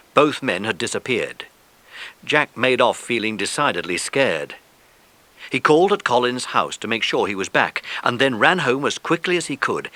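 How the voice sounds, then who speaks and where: A man's voice: mid-range voice, bright, forceful tone, dry recording. A man narrating a children's story from a script, as the narrator of an audiobook.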